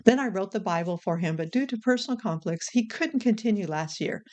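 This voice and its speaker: A woman's voice: medium pitch, expressive, brisk, a little mellow. Chatty woman hosting a how-to vlog. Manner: informal, frank, chatty. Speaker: video creator and host.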